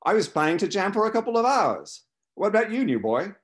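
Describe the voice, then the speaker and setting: A brash young bass player, put on by a male reader for a storybook. He is chatty, jovial and bossy. His voice sits high and jumps about with lively swings. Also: a little fast. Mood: protesting.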